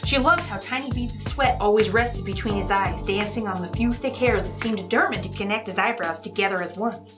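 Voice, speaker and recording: A woman who speaks in an earnest, halting, apologetic way, as a guest novelist on a comedy show. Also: medium pitch, over music. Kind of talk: dramatic reading of romance prose, then casual interview talk.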